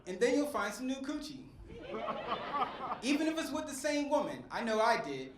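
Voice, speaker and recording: A man's voice: very high voice, big pitch swings, moderate pace. A middle-aged man from West Philadelphia doing stand-up, working the crowd in a preacher-like cadence. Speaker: stand-up comedian. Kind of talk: stand-up set. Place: comedy club stage. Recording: echoey club with faint crowd noise.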